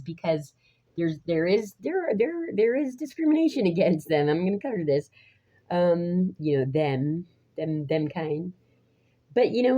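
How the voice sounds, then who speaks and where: Raspy thirties woman vlogger, low and mellow, rambling with many restarts. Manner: halting, restarts often, laughs between phrases. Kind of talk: rambling casual vlog chat. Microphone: phone.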